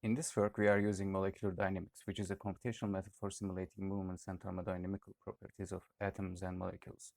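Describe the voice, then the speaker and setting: A man's voice: deep voice, level tone, rapid-fire, creaky, few pauses, no room sound, studio quality. A young man from Turkey reading out a student project presentation, with occasional hesitations. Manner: read-aloud explanation with occasional "uh" hesitations.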